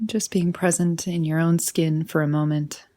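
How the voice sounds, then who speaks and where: A woman leading a guided meditation in a soothing, unhurried manner, often trailing off into breathy softness. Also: low-pitched, level tone.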